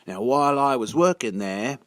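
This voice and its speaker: A man giving a casual, halting monologue as a video narrator, drawling words for sardonic emphasis. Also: mid-range voice, expressive, no echo, studio quality. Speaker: video narrator and amateur reader.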